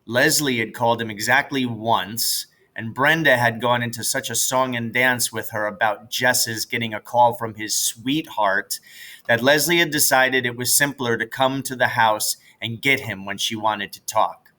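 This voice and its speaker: A man narrates a children's novel as a read-aloud. His voice sits at a middle pitch with a bright, forceful tone, in the style of a teacher reading a story. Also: moderate pace.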